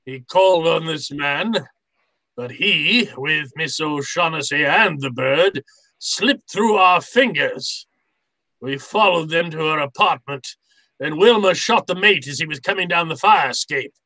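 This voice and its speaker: Man playing a courtly, cunning old crime boss, slow and bright. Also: fairly high pitch, no echo, full character voice, poor audio. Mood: smooth, explanatory. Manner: florid, formal, chuckling politeness. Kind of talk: old-time radio drama acting.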